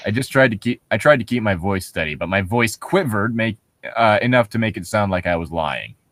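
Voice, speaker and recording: A man's voice: on the low side, expressive, brisk, fairly bright tone, no echo. A man reading aloud casually and jokingly, irreverent, sweary and giggly, stumbling over the text as he banters. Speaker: co-host of a creepypasta read-along.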